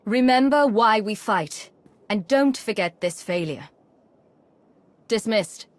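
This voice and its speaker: An actress playing a principled, composed commander in a video game, measured and authoritative. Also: female voice, mid-range voice, lively intonation, no room sound. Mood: firm. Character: principled, composed female commander, compassionate yet firm.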